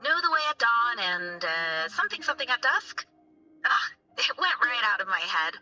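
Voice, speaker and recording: Casting: chirpy young student girl in a game, high and lively, music underneath. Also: normal speed, dull audio, exaggerated voice. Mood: upbeat. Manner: chirpy, animated, informal. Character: bright, eager young woman student, affectionate toward her professor. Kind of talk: video game voice acting.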